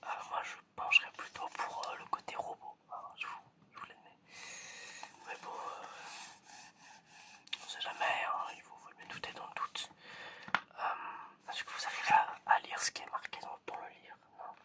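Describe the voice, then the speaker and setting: A man speaking in a breathy, unvoiced whisper, playing an examiner in an ASMR roleplay with hesitant, repetitive, teasing patter. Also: moderate pace.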